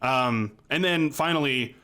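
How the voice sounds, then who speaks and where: A man's voice: mid-range voice, a little slow, bright, forceful tone. A man in his thirties chatting casually about film and TV as a podcast co-host, swearing now and then, close on a mic at home. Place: small home room, remote call.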